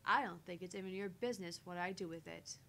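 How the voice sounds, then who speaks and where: A woman's voice: fast, vocal fry, fairly bright tone. A woman voicing a shy, easily embarrassed young woman in a visual novel, defensive and exasperated. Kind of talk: voice-acting visual novel dialogue and inner monologue. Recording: faint background rumble and noise.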